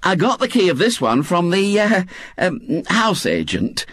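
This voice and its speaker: A man voicing a brisk, mischievous older woman who feigns innocence. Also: high-pitched, very animated, a bit bright, slightly rough, no room sound, pitched above the performer's own voice, exaggerated voice. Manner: gleeful, falsely innocent, brisk.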